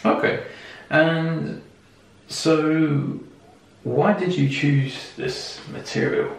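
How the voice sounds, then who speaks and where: Male interviewer, informal and hesitant, unhurried with gravelly fry, recorded at a distance in a very reverberant room. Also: fairly high pitch. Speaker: interviewer and video host. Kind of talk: casual interview. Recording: echoey room, distant mic, faint noise.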